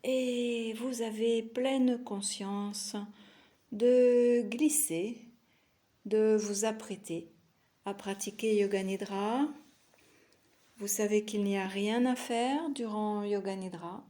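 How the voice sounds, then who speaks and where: A woman teaching yoga nidra, guiding a meditation in a soothing, unhurried manner with long pauses between short phrases. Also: medium pitch, dark tone. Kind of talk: guided relaxation meditation.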